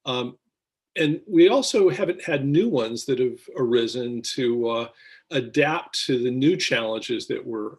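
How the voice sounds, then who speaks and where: An American man with a mid-pitched, somewhat husky voice speaks as a policy analyst being interviewed. His delivery is measured and deliberate, breaking often for ums and short pauses as he lays out his commentary. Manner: halting, deliberate phrasing with frequent ums and pauses.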